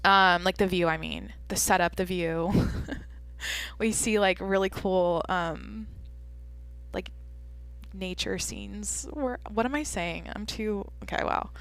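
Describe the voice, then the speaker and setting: A woman's voice: mid-range voice, slow, a bit bright, no echo. Rambling, candid young woman, dry. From Washington State, USA. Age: 20s. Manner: rambling, filler-heavy, candid and profane. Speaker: podcast host. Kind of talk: casual solo Q&A podcast.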